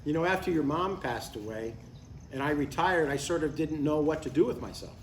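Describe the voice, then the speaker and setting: A man's voice: on the high side, fairly quick, lightly acted. A man playing a stubborn but affectionate older father in a live comedy table read, speaking in a matter-of-fact way. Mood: reflective. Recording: roomy, distant live recording, faint noise.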